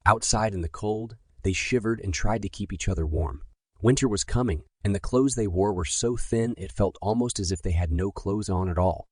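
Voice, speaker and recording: A low-voiced man reads a scripted bedtime story for children. His voice has a husky grain, and he tells the tale deliberately and with drama. The sound is close and dry. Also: dry recording.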